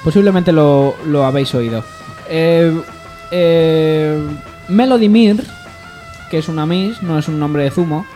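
A man's voice: a little high, a little slow, mellow, faint music behind. A man presenting a joke segment on a radio show in casual comic banter.

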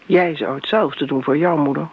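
Bitter, creaky-voiced grown daughter. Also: female voice, deep voice, moderate pace. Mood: accusing, defensive. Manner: pointed, reproachful, sarcastic. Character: bitter, accusing grown daughter defending her mother. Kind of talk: radio play acting. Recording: muffled old radio recording, background hiss.

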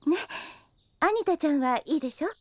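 A woman's voice: sits high, expressive, brisk, dry recording, studio quality. A woman playing a kind, protective young older sister in an anime audio drama, polite and motherly. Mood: gentle, caring.

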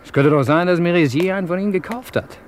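A man's voice: high voice, fast talker, close to an everyday voice. An actor playing a nervy, scheming accomplice of a gangster in an old German radio crime drama, theatrical in his musing. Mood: nervous musing. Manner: theatrical, chuckling, musing aloud. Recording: noisy vintage radio play recording.

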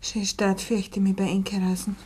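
A woman's voice: mid-range voice, completely flat delivery, a little fast, warm tone, breathy edge, close to an everyday voice. An actress playing a loyal, concerned young woman with a Bavarian accent in a 1950s dialect radio play.

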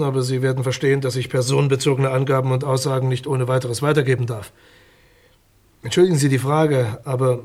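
An actor playing a formal, guarded public prosecutor in a German radio crime drama, courteous, bureaucratic and a little hesitant. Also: male voice, medium pitch, darkish tone, natural voice. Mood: guarded, officious.

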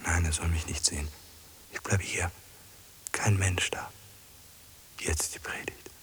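Ein Schauspieler spielt in einem deutschen Hörspiel einen einsamen, obsessiven, ängstlich flehenden Mann, heiser und oft flüsternd, der in kurzen Wiederholungen mit sich selbst redet. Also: male voice, low-pitched, fairly even intonation, a little slow, a bit bright. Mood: despairing. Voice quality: husky, often dropping into whispers. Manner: muttering to himself in short repeated phrases. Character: lonely, obsessive man, anxious and pleading. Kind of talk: German radio drama acting.

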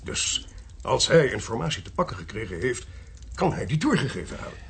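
A man acting a suave, talkative official in a radio drama, politely sly and self-possessed, with a husky, gravelly voice and urbane, theatrical delivery. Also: mid-range voice, very animated, brisk, slight background noise. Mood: expository, intrigued.

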